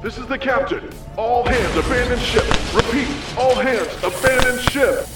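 A man's voice: extremely high pitch, normal speed. A man playing an authoritative starship captain in an audio drama, giving urgent, formal orders over an echoing intercom. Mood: urgent, commanding. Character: commanding male starship captain in battle. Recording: heavy echo under loud music and sirens.

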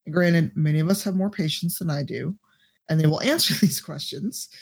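A woman with a deep voice, a podcast guest and streamer giving blunt, profane advice in casual chat. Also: fairly quick, a little mellow, husky.

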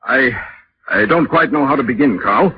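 An actor playing a courteous, righteous employer with a hesitant, formal manner in 1940s radio style. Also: male voice, medium pitch, lively intonation, moderate pace, natural voice. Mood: awkward, troubled. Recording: muffled, noisy vintage radio broadcast.

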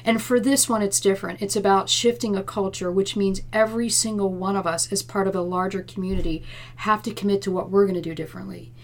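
A woman's voice: mid-range voice, fairly even intonation, fast, a little hiss or hum. Earnest woman explaining plans in an interview. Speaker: chief nursing officer, interview guest. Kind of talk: conversational interview answers about workplace plans.

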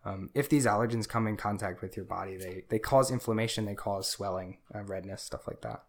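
A man's voice: on the low side, brisk. A man co-hosting a podcast, explaining in a casual chat with many fillers. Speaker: podcast co-host.

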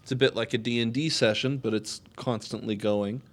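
A man's voice: mid-range voice, steady tone, brisk. A man co-hosting a casual podcast, rambling and joking, often correcting himself mid-sentence. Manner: rambling, self-correcting, jokey. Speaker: podcast co-host.

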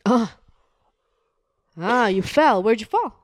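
A woman's voice: on the high side, expressive, slow, no room sound, studio quality. A woman playing a hostile bad cop who goads and swears at a suspect during an interrogation. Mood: menacing. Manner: sneering, goading, repeats "huh?", swears.

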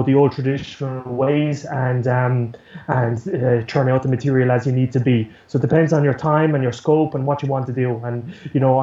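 A man's voice: mid-range voice, mellow, roomy sound, highs cut off. An Irish-accented man answering interview questions casually as a news producer, rambling and repeating words as he goes. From Ireland.

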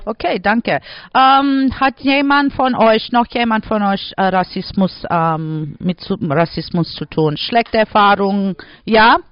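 A man leading a classroom discussion, asking students questions in halting, slightly broken German. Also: sits high, clean tone, dry recording. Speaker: workshop leader questioning students. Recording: dull, dry lo-fi recording.

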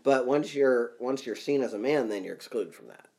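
Man, podcast co-host, blunt and profane, easily worked up, average pitch. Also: moderate pace, vocal fry, darkish tone. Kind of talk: casual podcast chat.

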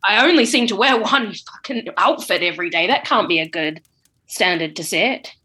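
A woman as a bright-voiced, flustered old wizard headmaster. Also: mid-range voice, lively intonation, moderate pace, husky. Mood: shaken. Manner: stammering, informal, slangy. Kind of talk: improvised comedy audio sketch.

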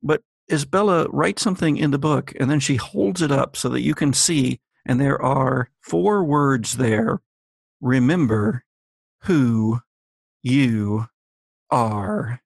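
Male game master, deliberate theatrical storyteller, gravelly fry, close dry sound. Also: medium pitch, lively intonation, no room sound. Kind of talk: dramatic scripted narration and game narration.

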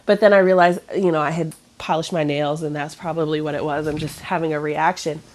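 A woman's voice: sits low, fairly even intonation, fairly quick, a little mellow. A woman in her thirties chatting casually, sounding stuffy and nasal from a cough, rambling with many fillers and restarts. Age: 30s. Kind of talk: casual chat.

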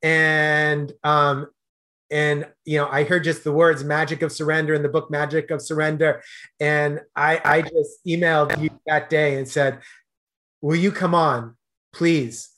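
Earnest man hosting, somewhat high voice. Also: little pitch movement, unhurried, pushed and bright. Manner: earnest, hesitant, many fillers. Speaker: podcast host. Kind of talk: casual interview questions.

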